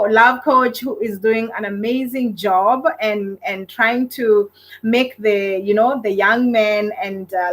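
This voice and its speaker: A woman's voice: fairly high pitch, low-quality recording. A middle-aged woman hosting a live online relationship panel, chatting casually and effusively as she welcomes and thanks people. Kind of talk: casual live-stream chat.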